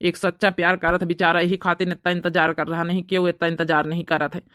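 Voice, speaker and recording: A woman's voice: on the low side, little pitch movement, fast, a bit bright, no room sound, close to an everyday voice. Woman as earnest, troubled Awadhi brother, dry sound. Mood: pleading. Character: anxious widowed young father, protective elder brother. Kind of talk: amateur cartoon story dialogue.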